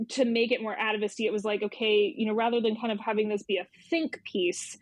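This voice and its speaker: A woman's voice: medium pitch, no room sound. Woman explaining thoughtfully, close dry sound. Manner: thoughtful, hedging, circling back to rephrase. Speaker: magazine editor being interviewed. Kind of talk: reflective conversational explanation.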